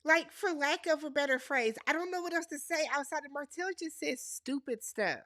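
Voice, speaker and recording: A woman's voice: sits high, normal speed, a bit bright, no echo, studio quality. A woman with a Wisconsin accent recapping a reality show, nasal and congested from a cold, opinionated and chatty with her viewers. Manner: opinionated, addresses viewers as y'all. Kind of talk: casual recap chat.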